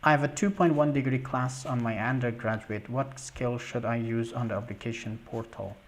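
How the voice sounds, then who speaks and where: A young man hosting a live question-and-answer stream, reading viewer questions aloud and answering in a halting way with many fillers. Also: medium pitch, steady tone, a little hiss or hum. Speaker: livestream host answering scholarship questions.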